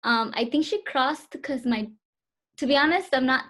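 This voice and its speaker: A woman's voice: sits high, animated, moderate pace, a bit bright. A woman in her twenties answering interview questions over a video call, hesitant and full of fillers. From Southern California, US. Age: 20s.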